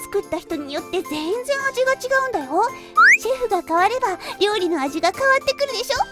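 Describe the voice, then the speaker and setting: A woman playing a bubbly, childlike high school girl in a Japanese anime-style voice drama, expressive and a little whiny. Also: fast. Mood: cheerful. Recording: prominent music behind the voice.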